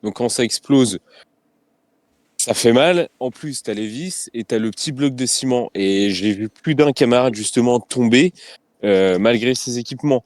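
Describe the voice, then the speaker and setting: A man speaking as a riot police officer in a debate, talking frankly and haltingly and often repeating phrases as he searches for words. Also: mid-range voice, a little mellow, no echo.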